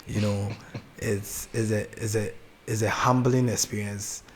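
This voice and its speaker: Young ghanaian guy, lowish voice, soft and laughy, bit of hiss behind. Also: flat delivery, unhurried. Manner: soft-spoken, laughs easily, hesitant restarts. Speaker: gospel artist guest. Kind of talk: casual interview chat.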